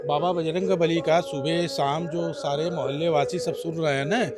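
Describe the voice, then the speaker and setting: Male neighbourhood supporter, high voice, gushing and devout, speaking in long unbroken sentences. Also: fairly even intonation, normal speed, over loud music. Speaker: local supporter interviewee. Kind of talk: spontaneous interview testimonial.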